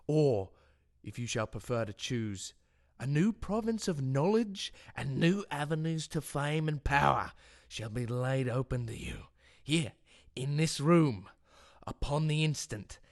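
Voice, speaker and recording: A man playing a sinister, taunting, monstrous villain in an audio drama, with slow, theatrical, grandiose delivery. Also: mid-range voice, fairly bright tone, no room sound, studio quality, close to an everyday voice.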